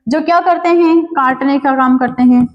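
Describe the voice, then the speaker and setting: A woman's voice: high voice, normal speed, long reverb tail, lo-fi sound, a little hiss or hum. A woman teaching a lesson, asking the class questions and then answering them herself. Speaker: biology teacher.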